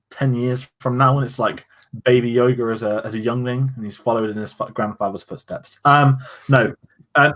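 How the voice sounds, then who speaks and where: A man's voice: mid-range voice, fairly bright tone. A man co-hosting a casual review chat, musing loosely with restarts and fillers. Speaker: podcast co-host.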